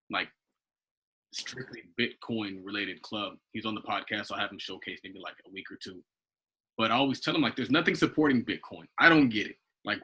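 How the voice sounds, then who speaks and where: An American man with a husky voice leading an informal investment club call, talking in a rambling, conversational way. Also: mid-range voice, a bit bright, rough recording. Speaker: investment club leader hosting a conference call. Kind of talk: informal group call talk, rambling updates. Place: online group video call.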